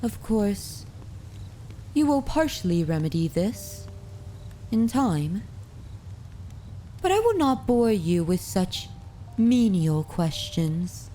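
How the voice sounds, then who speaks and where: A woman's voice: medium pitch, very animated, warm tone, faint music behind. A woman voicing a centuries-old noble vampire mistress in an ASMR roleplay, speaking formally with courtly, commanding and wistful poise. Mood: composed, commanding. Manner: formal, unhurried, aristocratic phrasing. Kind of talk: ASMR roleplay audio drama.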